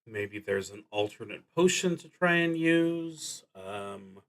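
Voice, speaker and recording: A man narrates his solo roleplaying game as he works it out aloud. His voice sits somewhat low and he speaks very slowly. The delivery is deliberate and halting, with long gaps between phrases. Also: expressive, fairly bright tone.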